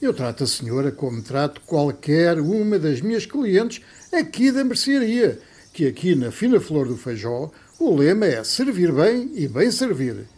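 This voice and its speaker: A man's voice: a little high, big pitch swings, measured pace, a little mellow, slightly rough, heavily acted. A man playing a blustering, exasperated grocer in a radio soap, brusque and flustered, with a European Portuguese accent. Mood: exasperated, firm. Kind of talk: old-fashioned radio soap acting.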